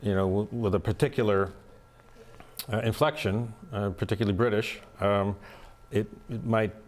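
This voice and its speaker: Man, American accent, low pitch, thoughtful, stammering restarts. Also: steady tone, moderate pace. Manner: thoughtful, repeats words as he starts sentences. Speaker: actor in a community theater play. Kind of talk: casual interview chat.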